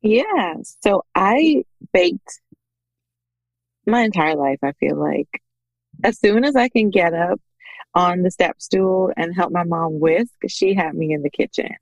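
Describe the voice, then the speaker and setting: A woman in her thirties from the Bahamas, telling personal stories conversationally as an interview guest, animated when she quotes people. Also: fairly low pitch, slow, dry recording, poor audio. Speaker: podcast guest, small business owner.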